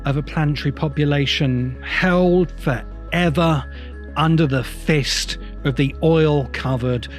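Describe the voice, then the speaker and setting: A man delivering a reflective, semi-improvised spoken essay as a host, with deliberate, halting phrasing and emphatic repeats. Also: a little high, faint music behind. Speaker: host of a science fiction video essay podcast.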